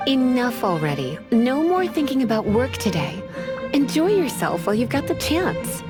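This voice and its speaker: Casting: worldly, kindly game librarian, slightly high and lilting, loud music behind. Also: female voice, sing-song, moderate pace. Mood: gently firm. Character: composed, worldly female librarian, kindly but firm. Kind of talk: video game voice acting.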